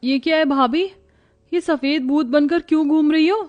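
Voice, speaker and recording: A woman's voice: high-pitched, fairly even intonation, moderate pace, a little mellow, lo-fi sound, lightly acted. A woman playing an indignant young sister-in-law in a cartoon family story. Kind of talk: cartoon moral story dialogue.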